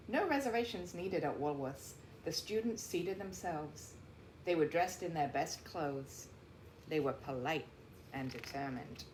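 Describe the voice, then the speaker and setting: A woman reading a picture book aloud like a teacher, with deliberate, emphatic phrasing and explanatory asides, in a roomy recording. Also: fairly low pitch, darkish tone. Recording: roomy echo, faint background noise.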